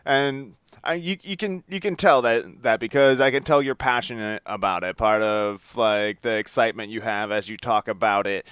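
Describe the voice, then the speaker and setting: A man's voice: fairly low pitch, moderate pace, hardly any pauses, fairly bright tone, smooth, no room sound. A man hosting a podcast interview in an informal, laid-back, conversational way. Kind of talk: casual interview chat.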